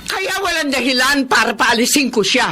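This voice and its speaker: A woman's voice: high-pitched, lively intonation, moderate pace, sharp tone. A woman playing an older widowed mother in a melodramatic Filipino radio drama, firm, reproachful and plain-spoken. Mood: firm, frank. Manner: firm, reproachful, matter-of-fact.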